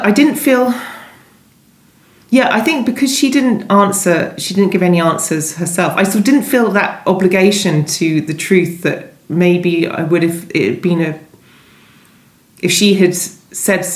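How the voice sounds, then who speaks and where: A woman's voice: fairly low pitch, lively intonation, measured pace. A woman novelist being interviewed, talking conversationally and thinking aloud, often hesitating and rephrasing. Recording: roomy, echoey sound.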